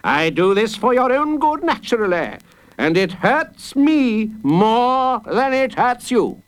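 A man voicing a blustering, self-important old judge in a storybook, gruff and stammering with indignation. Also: very high-pitched, big pitch swings, slow pace, voice pushed up, heavily acted. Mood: gloating, severe. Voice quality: growling, gruff.

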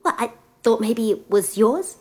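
A woman's voice: fairly high pitch, lively intonation, a little slow, darkish tone, husky. A woman voicing a brave, cheerful young princess who speaks hesitantly with little fillers. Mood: bashful.

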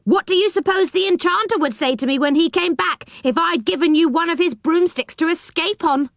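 A woman's voice: very high voice, a little fast, vocal fry, no room sound, clean studio sound, voice pushed up. A woman voicing an irritable, scornful guard behind a door in a storybook, indignant and questioning. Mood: angry, scornful.

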